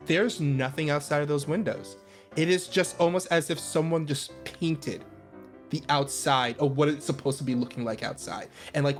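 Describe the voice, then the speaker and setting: Man narrating a tabletop game, music behind. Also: on the high side. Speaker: game master.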